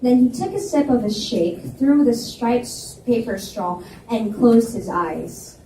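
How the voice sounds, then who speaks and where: A woman narrating a novel in the first person at a live library reading, lively and expressive. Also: a little high, measured pace. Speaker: reader and narrator. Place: library event space. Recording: roomy, distant mic with faint hiss.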